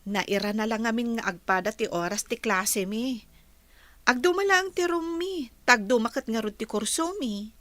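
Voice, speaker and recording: An actress with an Ilocano accent playing a gentle, bashful young college student in a radio drama, speaking tactfully. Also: female voice, on the high side, normal speed, no echo, natural voice. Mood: bashful, evasive. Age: young adult.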